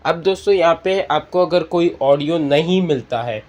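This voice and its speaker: A man's voice: fairly high pitch, normal speed, darkish tone. A man hosting an app tutorial, explaining step by step and addressing viewers as friends. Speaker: tutorial host. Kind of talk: spoken screen-reader app tutorial.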